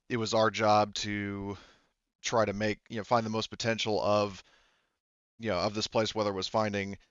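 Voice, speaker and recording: A man voicing a veteran colonial prospector in a tabletop game, casual and forthcoming, explaining in a rambling, halting way. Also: lowish voice, fairly bright tone, smooth, no echo, highs cut off.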